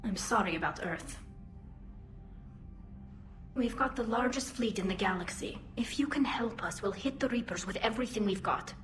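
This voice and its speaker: A woman's voice: fairly high pitch, normal speed, pauses often, fairly bright tone, soft background music. An actress voicing a young female alien admiral, earnest and self-doubting, through a helmet filter in a video game. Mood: earnest, guarded. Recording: roomy, faint ambient noise.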